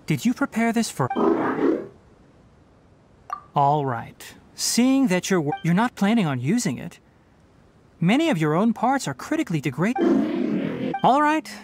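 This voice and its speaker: Lively-toned young forest ranger. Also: male voice, high voice. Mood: concerned, dry. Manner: articulate, measured, earnest. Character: calm, principled young forest ranger scholar, gently firm. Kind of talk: anime game voice acting. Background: game music.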